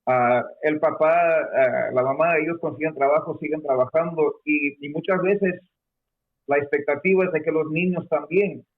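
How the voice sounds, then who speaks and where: A man's voice: a little high, steady tone, normal speed. A man born in Peru, a guest explaining his experience in a hesitant way, with many fillers and restarts. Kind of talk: conversational explanation. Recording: phone-like narrow sound.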